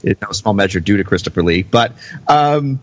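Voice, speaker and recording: A man hosting a casual podcast, talking in a halting way with repeated words. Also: lowish voice, expressive, dull audio. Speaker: podcast host.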